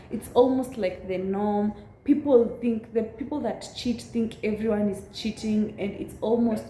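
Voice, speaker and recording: Young woman vlogger, raspy from a cold, earnest and unhurried; mellow tone in a roomy space. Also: medium pitch, slight background noise. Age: 20s. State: recovering from a cold. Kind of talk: casual chat to camera.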